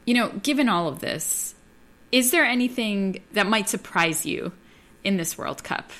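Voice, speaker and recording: A woman's voice: medium pitch, lively intonation, a little slow, fairly bright tone. A woman hosting a radio show, speaking in a conversational interview style. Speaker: host.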